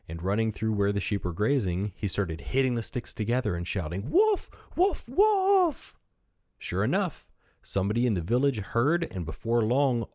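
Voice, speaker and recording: A man's voice: low voice, darkish tone, no room sound, clean studio sound. A man narrating a read-aloud children's story as a storytelling podcast host.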